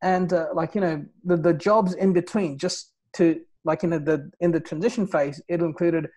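A man in his thirties, originally from Nepal, talks as a guest on an interview show. His voice sits a little high for a man, and he keeps tossing in "right?" and "like, you know" as he goes. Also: fairly even intonation, moderate pace, darkish tone. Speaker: podcast guest. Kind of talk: casual interview, telling his life story.

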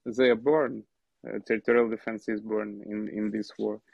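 A man's voice: lowish voice, flat delivery, normal speed, gravelly, husky, no echo, dull audio. A Ukrainian man, a soldier and platoon commander, answering interview questions in English with a Ukrainian accent, hesitant and full of fillers as he searches for words. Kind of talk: interview answers in a second language.

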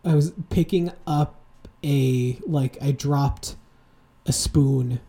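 A man in his twenties co-hosting a casual podcast, telling rambling, animated stories full of fillers and asides. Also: medium pitch, takes it slowly, vocal fry, a crowd in the background. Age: 20s. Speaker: podcast co-host. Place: home room, seated with a heating pad.

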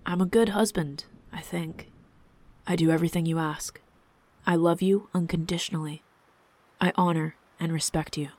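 A woman's voice: on the low side, fairly even intonation, normal speed, darkish tone, no echo, lightly acted. A woman voicing a proud, wounded husband and king in a storybook, intense and indignant. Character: proud, wounded husband and king, cool but hot-tempered.

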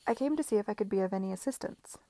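Brief: female reader doing an eager young woman, mellow tone, dry studio sound. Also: medium pitch, rapid-fire, dry recording, lightly acted. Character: lively capable young woman guest.

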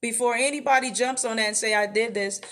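A woman's voice: on the high side, fairly even intonation, fast, a bit bright, slight background noise. An American woman being interviewed as a political candidate, speaking emphatically and colloquially, repeating phrases to drive points home. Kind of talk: campaign interview, conversational.

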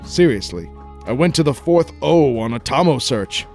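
A man's voice: medium pitch, expressive, moderate pace, a little mellow, soft background music, close to an everyday voice. A man playing a brash, wisecracking American adventurer in a 1940s-style radio play, folksy and sarcastic. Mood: exasperated.

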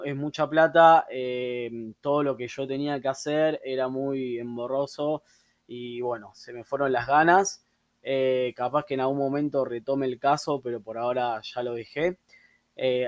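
Relaxed Argentine man, dry close sound. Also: mid-range voice, dry recording. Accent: Argentine Spanish. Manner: relaxed, many "eh" fillers. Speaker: podcast host. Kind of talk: casual storytelling and chat. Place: room with door open, people passing.

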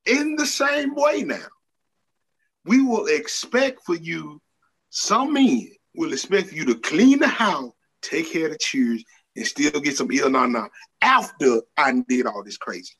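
Husky man in his forties, lively and preachy, debating. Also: a little high, unhurried, low-quality recording. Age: 40s. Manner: preachy, animated, lays out points with "however". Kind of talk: casual debate chat.

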